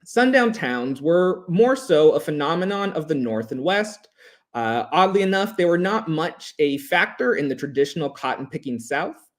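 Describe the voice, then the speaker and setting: A man giving a prepared history presentation in a measured, emphatic, formal way, as a lecturer. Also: a little high, lively intonation.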